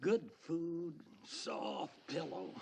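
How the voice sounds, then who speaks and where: A man voicing a lazy, self-satisfied old hound dog in a cartoon film, with a gravelly voice. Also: a little high, drawn-out words, slight background noise, full character voice. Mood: smug, contented. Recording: film clip with loud music.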